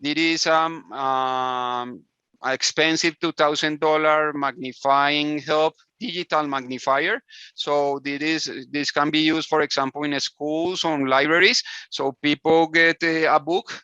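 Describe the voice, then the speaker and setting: A man from Colombia talks in English with a Spanish accent, bright and slightly high in tone. He restarts his sentences often and laughs easily. The dry, muffled audio comes from a video call. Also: fairly even intonation, a little slow, clear, resonant tone, no room sound. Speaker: guest inventor.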